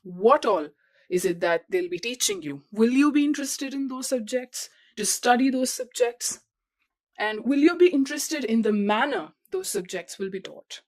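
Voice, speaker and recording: A woman's voice: on the high side, animated, moderate pace, darkish tone. A young woman hosting a podcast who explains things step by step in a repetitive way and talks straight to her listeners. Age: young adult. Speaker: podcast host. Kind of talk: advice talk.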